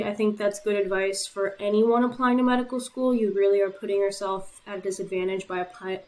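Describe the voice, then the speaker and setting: A young woman from Puerto Rico, a native Spanish speaker, talks her viewers through her own application as a vlogger. Her delivery is relaxed and matter-of-fact, with plenty of "um" fillers between points. Her voice sits at an ordinary middle pitch. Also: low-quality recording. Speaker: YouTuber and medical school applicant.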